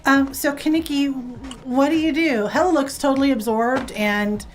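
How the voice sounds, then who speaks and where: Game master woman with a higher voice. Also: normal speed, a little hiss or hum. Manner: relaxed narration with frequent ums. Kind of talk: narrating a tabletop game.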